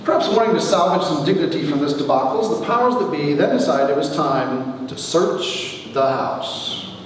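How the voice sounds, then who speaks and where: A man giving a wry dramatic reading of his own humorous story to an audience, with theatrical pauses. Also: fairly high pitch, animated. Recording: echoey hall from far off, faint noise.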